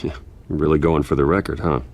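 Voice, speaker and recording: An actor playing a guarded, wry, brooding billionaire in a video game. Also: male voice, extremely low pitch, little pitch movement, brisk, gravelly. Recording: game music behind.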